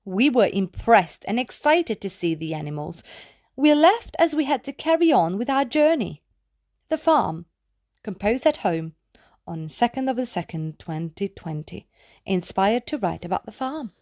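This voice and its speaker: A woman reading children's poetry aloud from a script in a measured, deliberate, clearly enunciated way. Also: medium pitch, big pitch swings, darkish tone, no room sound, clean studio sound.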